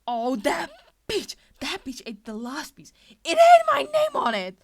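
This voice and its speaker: Woman doing a raging teen boy in a fanfic read, high screechy voice, super explosive and sweary. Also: wildly swooping pitch, a little slow, gravelly, heavy background noise, full character voice. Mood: furious, screaming. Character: furious screaming teenage boy, shrill and explosive. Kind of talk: expressive fan fiction reading.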